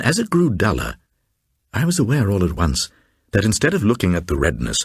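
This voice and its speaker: An actor playing a reclusive, haunted old man who gravely reads out his uncanny diary in a gothic radio drama, with a gravelly, husky voice. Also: low-pitched, no echo, studio quality, exaggerated voice. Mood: awed, uneasy. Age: older. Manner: grave, brooding, deliberate.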